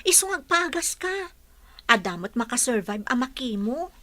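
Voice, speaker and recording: An actress with a Filipino Ilocano accent playing an earnest, caring and spirited young caregiver in a radio drama. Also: female voice, sits high, moderate pace, no echo, full character voice. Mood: gently encouraging. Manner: earnest, pleading, expressive. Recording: noisy radio drama recording.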